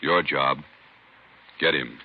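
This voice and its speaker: A man's voice: very deep voice, slow pace, noisy background. An American man solemnly introducing a 1950s radio drama in terse, scripted sentences. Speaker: program announcer. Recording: dull old radio recording. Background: loud music.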